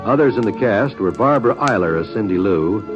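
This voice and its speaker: A man's voice: lowish voice, expressive, fairly quick. An American man reading scripted credits as a 1950s radio announcer, formal and polished. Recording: muffled old radio broadcast. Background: music.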